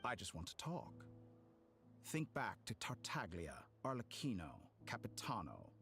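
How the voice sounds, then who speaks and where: An actor playing a smug, theatrical, calculating villain in a fantasy video game, silky and condescending. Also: male voice, lowish voice, very animated, a bit bright, heavily acted. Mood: coaxing, calculating. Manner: silky, condescending, deliberate provocation. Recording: game music behind the voice.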